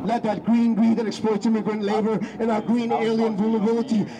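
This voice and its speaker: Very high-voiced man declaiming poetry. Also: flat delivery, quick pace, highs cut off, background noise. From Ecuador. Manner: declamatory, often shouting, dramatic swings in loudness and pace. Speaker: spoken-word poet. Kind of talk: spoken-word poetry performance, mixing English and Spanish.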